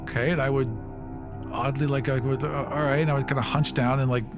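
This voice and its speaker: A man playing a tabletop game, casually narrating what his character does and thinking out loud as he goes. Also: mid-range voice, flat delivery, fast talker, music in the background. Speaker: player.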